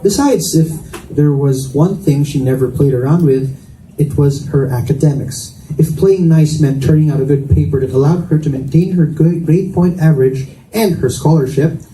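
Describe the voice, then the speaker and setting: A man reads a romance story aloud as narrator. His tone is very dark and soft-edged, pitched a little high, and it carries from a distance through an echoey room. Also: moderate pace. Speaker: narrator, book reader. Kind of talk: live reading of romance fiction. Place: indoor school event room. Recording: echoey room, distant mic, faint noise.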